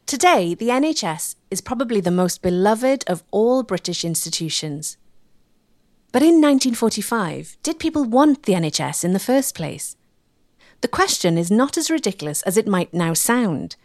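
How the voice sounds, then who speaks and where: Female documentary narrator, mid-range voice with lively intonation, measured and emphatic, dry studio sound. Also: mid-range voice, no echo.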